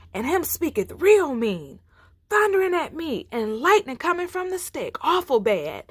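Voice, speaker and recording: A woman voicing a proud male lion from a children's storybook, with drawn-out, boastful, wailing delivery. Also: extremely high pitch, fairly bright tone, dry recording, clean studio sound, voice pushed up, exaggerated voice. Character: proud roaring male lion, boastful, later humbled and whiny.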